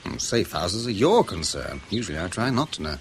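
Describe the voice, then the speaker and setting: An actor playing a sceptical, exacting British intelligence officer in a radio spy drama, educated, dry and probing, with a testy edge. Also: male voice, low-pitched, big pitch swings, fairly quick, noisy background. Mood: testy, demanding.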